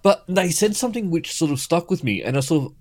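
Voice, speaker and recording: A man's voice: fairly high pitch, big pitch swings, quick pace. A middle-aged man with a Western Sydney Australian accent, chatting easily as a podcast co-host and hedging his words with "sort of". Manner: easygoing, hedges with "sort of". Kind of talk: casual chat.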